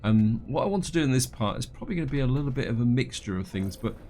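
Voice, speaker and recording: A man with a croaky, congested voice from a cold, casually commenting on his gameplay and thinking aloud as he goes. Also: medium pitch, animated, fast, mellow, a little hiss or hum. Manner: rambling, thinks aloud with fillers and restarts; reads on-screen game text aloud.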